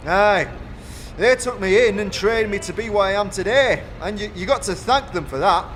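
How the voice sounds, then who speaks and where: A man playing a blustering high-pitched foundry foreman. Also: moderate pace, fairly bright tone, a little hiss or hum. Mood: pompous. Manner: rustic dialect grammar, blustering, self-justifying. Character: gruff, self-important, rough foundry foreman, defensive and boastful. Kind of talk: fantasy audio drama character acting.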